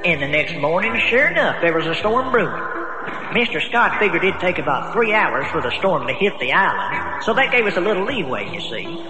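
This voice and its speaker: A man's voice: high-pitched, sing-song, fairly quick, reverberant, in a large hall, music in the background, rough recording. An actor playing a folksy country man spinning a tall tale in a radio drama, rambling and earnest. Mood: earnest storytelling.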